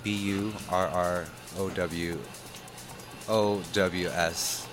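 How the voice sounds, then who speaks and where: A man's voice: deep voice, flat delivery, extremely slow. A young man from Detroit, a guest DJ, chatting casually in an interview, hesitant and full of fillers. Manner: hesitant, filler-heavy, laid-back. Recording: music playing underneath.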